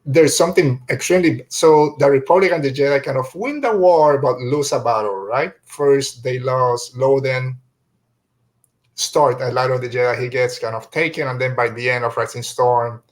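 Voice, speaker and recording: A man hosting a podcast in a casual fan chat, talking in a halting, rambling way with trailing thoughts. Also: mid-range voice. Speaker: podcast host.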